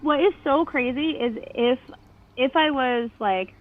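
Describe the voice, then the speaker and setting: A woman's voice: sits high, a little slow, soft background music. A nasal woman in her thirties with a New Jersey accent chats as an interview guest. She talks loosely and fills her sentences with likes and ums. Her voice comes through a thin, phone-like line. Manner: nasal, chatty with many fillers and laughs. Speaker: podcast guest. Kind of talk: casual interview chat. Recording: phone-like narrow band.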